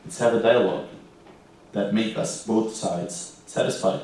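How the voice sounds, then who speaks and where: A man's voice: fairly low pitch, steady tone, a little slow, a little mellow. A man wrapping up his talk with easygoing, chuckling asides. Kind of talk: closing remarks. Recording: roomy echo, distant, faint hiss.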